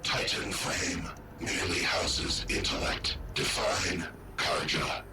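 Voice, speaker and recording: An actor playing an ancient, malevolent war-machine intelligence in a video game, with a synthetic booming voice and terse, imperious declarations. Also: male voice, fairly even intonation, slow. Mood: cold, mechanical. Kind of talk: scripted video game acting. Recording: loud music and rumble behind.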